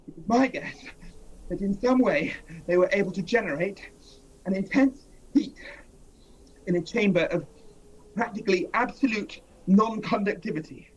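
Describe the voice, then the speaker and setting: A man playing a cautious scientist professor who speaks slowly and deliberately, in a 1930s-style radio drama. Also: sits high, husky, low-quality recording. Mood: measured. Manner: slow, deliberate, weighing each word.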